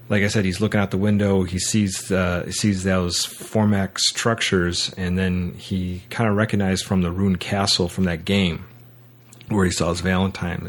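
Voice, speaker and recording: Very low-pitched man, podcast host, casual discussion, halting delivery with repeated words. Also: a little hiss or hum. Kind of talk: casual film and book discussion.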